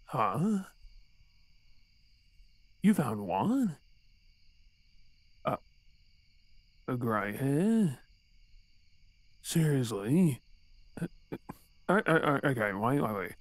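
A man's voice: mid-range voice, sing-song, extremely slow, pauses often, no room sound, full character voice. A man with an Australian accent playing an exasperated but tender boyfriend in an intimate, conversational audio roleplay.

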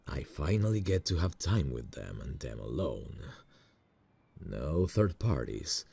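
Romantic ASMR lead: a man playing a nervous, lovestruck male boss; gravelly, expressive swings in pitch, intimate and self-conscious. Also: unhurried, heavily acted. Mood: nervous, musing. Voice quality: very deep, gravelly, husky. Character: smitten, nervous male boss on a work trip. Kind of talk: romantic ASMR roleplay acting.

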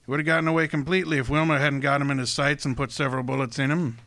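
An actor playing a courtly, pompous criminal mastermind, genial but menacing, with florid formal speech in an old-time radio drama. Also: male voice, mid-range voice, flat delivery, very fast, bright, forceful tone. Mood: suave.

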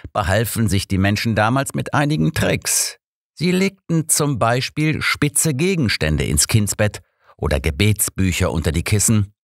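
A man reading an elderly, learned detective in an audiobook, with a measured, formal, explanatory and calmly assured way of talking. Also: fairly low pitch, dry recording, studio quality.

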